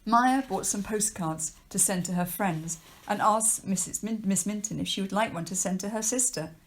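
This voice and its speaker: A woman narrates a children's storybook as a teacher would read it to pupils. Her voice sits at an average pitch for a woman. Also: moderate pace, rough recording.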